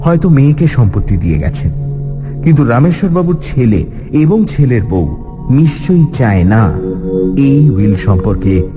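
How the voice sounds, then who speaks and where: Expressive male narrator with very varied pitch. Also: moderate pace, muffled recording, music in the background. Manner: expressive, theatrical storytelling. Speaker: story narrator. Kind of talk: dramatic scripted narration of a detective story.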